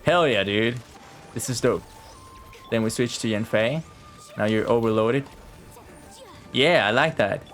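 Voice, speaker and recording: A young man streams a video game in a medium-pitched voice. He chats casually in slang and mutters asides to the game, with faint music underneath. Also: a little slow, long pauses, fairly bright tone. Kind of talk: casual live gameplay commentary.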